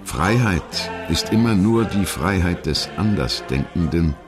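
A man reading scripted historical narration in a measured, articulate and formal manner. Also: deep voice, expressive, over music. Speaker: documentary narrator.

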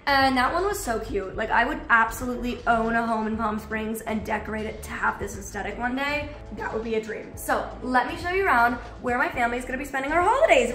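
A woman's voice: on the high side, fairly bright tone, roomy sound. Nasal bubbly young woman vlogger. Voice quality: nasal from recent illness. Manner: bubbly, gushing, lots of "like". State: getting over a cold. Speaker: vlogger giving a house tour. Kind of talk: casual chatty house tour. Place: bright home interior, indoors.

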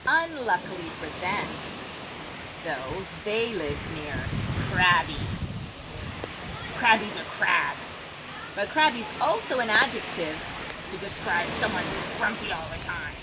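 A woman in her thirties reading a children's story like a teacher, expressive and instructive, outdoors. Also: mid-range voice, measured pace, very noisy. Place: open seafront, outdoors. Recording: loud ocean surf behind voice.

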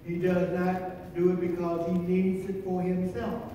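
Older male pastor, mellow high voice, deliberate repeated phrases, church sermon delivery. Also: monotone, muffled recording. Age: older, 60s or 70s. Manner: deliberate, drawn-out phrases with repetition for emphasis. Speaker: pastor preaching. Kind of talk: church sermon with congregational call and response. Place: large church sanctuary, at a pulpit. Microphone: distant camera. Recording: echoey church hall from far off, faint noise.

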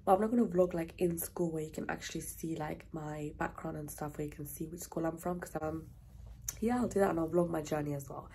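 A woman's voice: low voice, fairly even intonation, fairly quick, gravelly, dark tone, a little hiss or hum. A young woman rambling in a casual vlog chat, with lots of "like" fillers. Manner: rambling and chatty, with frequent "like" fillers. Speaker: vlogger.

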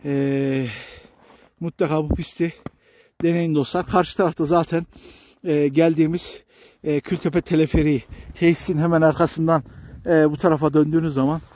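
A man's voice: a little high, dark tone. A man chatting casually to viewers and companions as a jokey, exclamatory vlogger out in the open while on the move. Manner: active, talking while snowboarding. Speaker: vlogger presenting a ski slope. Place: outdoors on a steep ski slope.

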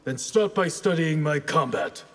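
An actor playing a stern, honour-bound ninja grandmaster in a video game, with a husky voice and formal, solemn manner. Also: male voice, on the high side, a little slow. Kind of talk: video game fight intro dialogue.

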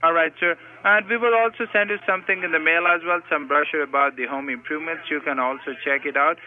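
A man's voice: fairly high pitch, steady tone, fast. A man working as a telemarketing caller, asking scripted sales questions in a formulaic, polite way with frequent sirs, heard through a phone. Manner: formulaic, polite, repeatedly saying sir. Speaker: telemarketing caller posing as senior supervisor. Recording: tinny speakerphone call audio.

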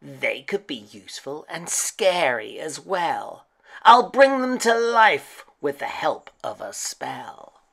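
A woman's voice: low-pitched, very animated, slow, vocal fry, slightly rough, pitched above the performer's own voice, exaggerated voice. Wicked old witch, played by a woman, storybook character, bossy, snappish, scolding, swooping intonation. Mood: scheming.

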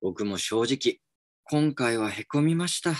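A man's voice: medium pitch, expressive, slow pace, dry recording, clean studio sound. An actor playing a reflective, slightly dejected male employee in a voice drama, polite and musing. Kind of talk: voice drama acting with inner narration. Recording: music behind the voice.

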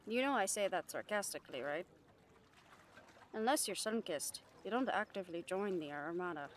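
A woman's voice: fairly low pitch, lively intonation, normal speed, slight background noise, natural voice. A woman playing a brash, cheeky catgirl pirate in an audio roleplay, bantering with salty sarcasm. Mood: wry, sarcastic. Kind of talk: roleplay audio drama acting.

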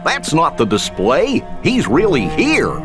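A man's voice: fairly high pitch, wildly swooping pitch, a little slow, music in the background. A man voicing a goofy, good-hearted cartoon dog sidekick in a video game, with a throaty, folksy, earnest delivery. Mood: puzzled. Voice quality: husky, throaty. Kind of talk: video game cutscene acting.

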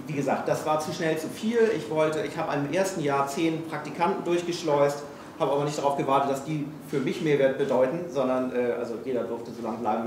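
A man's voice: medium pitch, darkish tone. A middle-aged man from Hamburg, speaking frankly and with self-mockery to a live audience, full of hesitations. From Hamburg, Germany. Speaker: speaker at a failure-stories evening. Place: small event room with audience. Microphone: room PA, recorded from a distance. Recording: echoey room, distant mic, faint noise.

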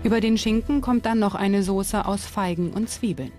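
A woman reads scripted documentary narration in a presenter's role. Her voice sits at an ordinary middle pitch for a woman, with music playing softly behind it. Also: moderate pace.

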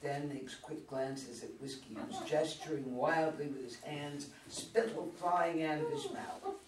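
An older man with a slightly high voice reads stage directions at a play reading. He is heard from some distance in an echoey room. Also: big pitch swings, unhurried. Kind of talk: scripted narration at a play reading. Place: meeting room, around a table. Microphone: far camera. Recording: distant mic, echoey room, faint noise.

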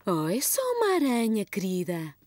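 A woman's voice: medium pitch, huge pitch swings, normal speed, a little mellow, dry recording. A woman playing a kind, encouraging mother in a children's cartoon, with a European Portuguese accent. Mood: gentle, encouraging.

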